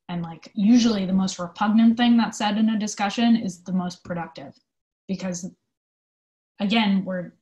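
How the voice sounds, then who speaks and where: A woman speaking as an interview guest, giving thoughtful, conversational answers in an earnest, halting way with emphasis on key words. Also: fairly low pitch, a little mellow. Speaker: interview guest, theatre company manager.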